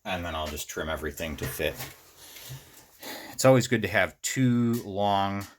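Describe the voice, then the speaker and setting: Slow, low-voiced man thinking out loud. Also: lively intonation, background noise. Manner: musing, thinking out loud in fragments. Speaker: host of a DIY circuit bending video series. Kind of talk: unscripted hands-on tutorial commentary while working.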